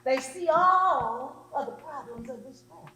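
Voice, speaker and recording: A woman preaches slowly in a high voice. Her oratory is emphatic and repetitive for effect, and the room echoes strongly around her. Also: lively intonation, bright, forceful tone. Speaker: preacher delivering a sermon. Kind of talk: oratorical sermon. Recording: echoey hall.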